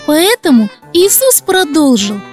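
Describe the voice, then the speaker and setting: A gentle little girl host, voiced by a woman, very high and lively in pitch. She tells children's stories slowly and kindly, with music faintly behind her. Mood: storytelling. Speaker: host and storyteller. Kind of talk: expressive children's storytelling.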